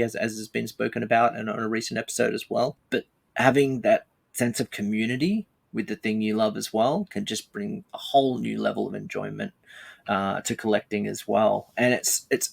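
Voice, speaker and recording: A man hosting a podcast in casual chat, talking in a halting way and repeating words as he goes. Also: on the low side, creaky, breathy edge. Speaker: podcast host.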